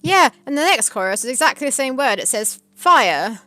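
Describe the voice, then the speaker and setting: A woman's voice: high voice, moderate pace. Giggly woman chatting on a stream. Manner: giggly, animated. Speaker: co-host reading chat. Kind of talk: casual chat on a live stream.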